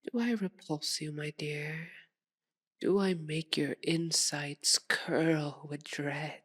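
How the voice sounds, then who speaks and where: A sadistic, possessive vampire lady voiced by a woman purrs to the listener in an ASMR roleplay. Her voice sits a little low and she draws her words out very slowly. She is formal, theatrical and seductively teasing. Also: fairly even intonation. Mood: mock-hurt, menacing. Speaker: voice actor in audio roleplay.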